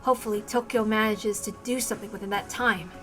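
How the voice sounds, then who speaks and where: A woman's voice: medium pitch, steady tone, fast, a bit bright, faint music behind, lightly acted. A woman voicing a grave, urgent military man in a dramatic visual novel read-aloud.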